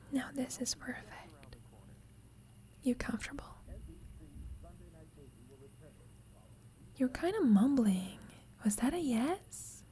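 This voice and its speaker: A woman playing a teasing, affectionate young roommate with a secret crush in an ASMR roleplay, speaking softly and breathily, often in a whisper, with playful, tender gentleness. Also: a little high. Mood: soft, cozy. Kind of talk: ASMR roleplay, intimate sleep-aid audio.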